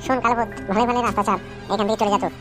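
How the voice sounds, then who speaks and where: A woman doing a brusque, fast-talking village tough. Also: medium pitch, steady tone, lightly acted. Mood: threatening. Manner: brusque, scolding. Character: gruff, fearless village man with a short temper. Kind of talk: cartoon comedy voice-over. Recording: music behind the voice.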